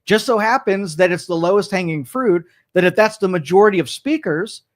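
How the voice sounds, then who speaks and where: A high-pitched man talks through his political views on a studio talk show. He rambles and argues, restarts his sentences and acts out mock quotes. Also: a little fast.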